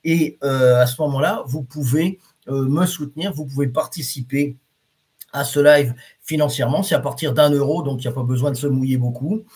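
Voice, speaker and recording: A man's voice: mid-range voice, normal speed, darkish tone. A man teaching reflexology on a live stream, talking casually to his viewers with many fillers and restarts and reading out their comments. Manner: reads viewer comments aloud.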